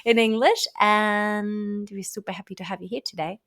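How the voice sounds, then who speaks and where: A woman's voice: medium pitch, steady tone, moderate pace, a bit bright. A woman with a German accent hosting a podcast, effusive and bubbly, laughing often. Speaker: podcast host. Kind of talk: podcast interview.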